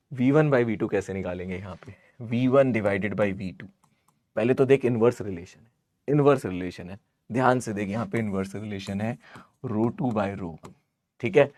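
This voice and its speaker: A man with a hoarse voice teaches an informal live online maths class, teasing and scolding his students and repeating phrases for emphasis. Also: on the low side, darkish tone, no echo. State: hoarse, sore throat.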